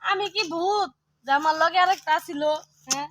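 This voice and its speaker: A woman's voice: very high-pitched, normal speed, fairly bright tone, dry recording, clean studio sound. A woman acting an exasperated village woman in a comedy sketch, indignant and complaining, with a rural Bangladeshi Bengali accent, on a noisy recording. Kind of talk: improvised comic dialogue.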